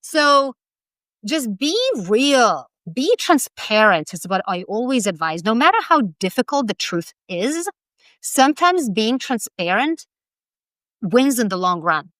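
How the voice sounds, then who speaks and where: A woman's voice: fairly high pitch, sing-song, unhurried, fairly bright tone, no room sound. A woman in her forties from Lithuania with a Lithuanian accent, a branding expert giving direct, emphatic advice in an interview and laughing between points. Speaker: personal branding expert guest.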